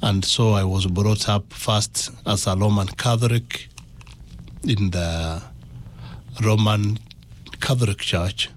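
A man with a Kenyan accent giving a personal testimony as an interview guest, in halting, deliberate phrases. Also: on the low side, a little hiss or hum.

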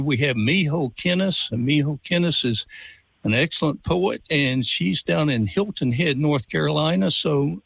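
A man's voice: on the high side, gravelly, slightly rough, dry recording. A man hosting a college radio interview show in a studio, friendly and welcoming.

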